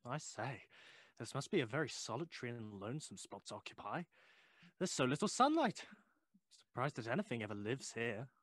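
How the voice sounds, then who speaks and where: A nervously chatty, inquisitive Victorian gentleman in an audio play. His voice swings up and down in a dry, close recording, full of polite hesitations and old-fashioned courtesy. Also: medium pitch, big pitch swings, brisk, dry recording. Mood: curious, polite. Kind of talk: audio drama acting.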